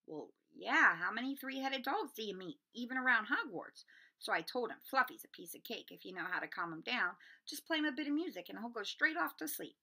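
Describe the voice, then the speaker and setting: A woman plays a big, friendly gamekeeper from a children's storybook. He rambles in a casual, unguarded way, with a bright, bouncy delivery that rises and falls freely. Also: on the high side, very animated, fairly quick, gravelly, full character voice, rough recording. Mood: chatty, recollecting. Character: big easygoing male gamekeeper, chatty and unguarded.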